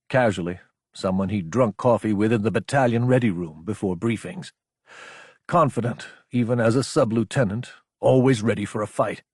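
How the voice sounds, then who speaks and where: A man's voice: mid-range voice, no room sound, clean studio sound. A man narrating a science fiction action audiobook from a script.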